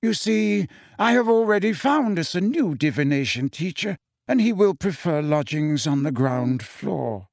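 A man voicing a calm, courteous old male wizard headmaster who speaks pleasantly but firmly. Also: on the high side, measured pace, gravelly, hardly any pauses, no room sound, clean studio sound, pitched above the performer's own voice.

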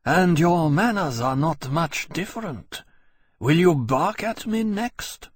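A man voicing a cool, disdainful female dragon who speaks slowly and bitterly. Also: fairly high pitch, lively intonation, husky, no echo, studio quality, voice pushed up. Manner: cool, contemptuous, slow and measured.

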